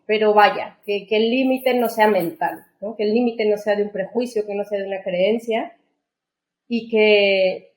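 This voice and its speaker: A woman's voice: mid-range voice, a little mellow. A woman chatting casually as a podcast co-host, recorded with a roomy echo. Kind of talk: casual conversation. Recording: roomy, echoing sound.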